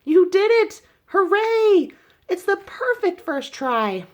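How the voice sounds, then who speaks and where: A woman's voice: very high-pitched, very animated, slow, lo-fi sound, pitched above the performer's own voice, exaggerated voice. A woman voicing a spirited, encouraging elderly great-great-aunt in a storybook, with emphatic exclamations. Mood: elated.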